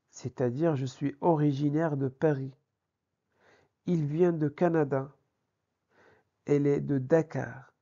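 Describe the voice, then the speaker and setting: Slow, husky male teacher. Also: fairly high pitch, mellow, lo-fi sound. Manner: didactic, asks and answers own questions. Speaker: French language teacher. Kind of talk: grammar lesson with examples.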